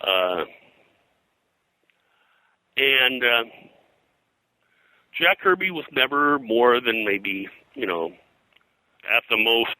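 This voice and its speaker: An older man speaking as an interview guest and historian, telling anecdotes in a casual, halting, discursive way. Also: mid-range voice, animated, sharp tone, dry recording, rough recording. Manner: halting, discursive, hesitant phrasing.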